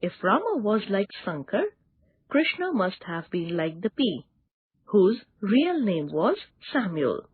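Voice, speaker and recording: A woman's voice: medium pitch, sing-song, slow, breathy edge, no echo. A woman narrating a children's story as a scripted storyteller. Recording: band-limited, tinny, lo-fi audio.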